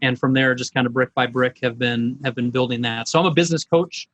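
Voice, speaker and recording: A man's voice: medium pitch, steady tone, fairly quick, fairly bright tone. A middle-aged man, a business coach, presenting online in an informal, folksy, conversational way.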